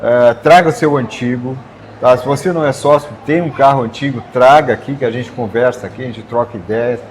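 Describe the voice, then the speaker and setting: A man's voice: medium pitch, brisk, a little hiss or hum. A man with a mid-range voice speaks in an easy, inviting way. Faint ambience sits behind him. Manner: friendly and inviting, adds short agreements. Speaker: car club member. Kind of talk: casual live-stream chat.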